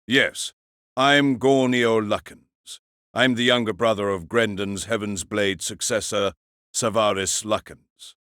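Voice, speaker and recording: An actor playing a stocky, composed young team captain in an audio drama, stern, measured and blunt but polite. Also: male voice, on the low side, steady tone, a bit bright, smooth, no room sound, studio quality. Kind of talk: anime-style audio drama acting.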